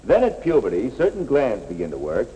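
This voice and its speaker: A man's voice: mid-range voice, wildly swooping pitch, a little fast, dark tone. A man playing a patient, plain-spoken middle-aged school coach in a 1950s educational film, explaining things earnestly. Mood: patient, explanatory. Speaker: coach and narrator. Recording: echoey, noisy 1950s film soundtrack.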